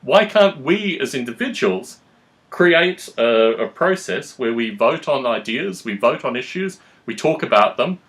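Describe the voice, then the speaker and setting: A man speaks at an average male pitch as he lays out his opinions in a political discussion. He hosts the talk and phrases things formally and deliberately. His sentences run long and wordy. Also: sing-song, a bit bright. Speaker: podcast host.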